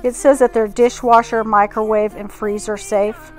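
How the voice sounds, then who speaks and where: A woman's voice: fairly high pitch, flat delivery, moderate pace, faint music behind. A middle-aged woman narrating household tasks in a casual vlog voice-over. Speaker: home organizing vlogger.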